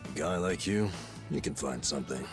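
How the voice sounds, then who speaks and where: A man's voice: extremely low pitch, animated, normal speed. An actor playing a laid-back, teasing middle-aged man in a game cutscene with music behind. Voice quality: easygoing, teasing, laughs easily. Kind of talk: video game cutscene dialogue.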